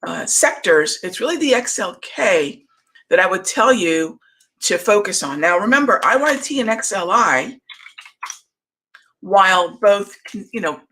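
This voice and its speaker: A woman in her middle years hosts a live trading chat, reading out viewers' questions and answering them in an easy, conversational way full of fillers. Her voice is bright and forward, though it is wearing thin and hoarse. Her pitch sits in an ordinary middle range for a woman. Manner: conversational, reads viewer comments aloud, many fillers. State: losing her voice, throat clearing. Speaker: trading show host.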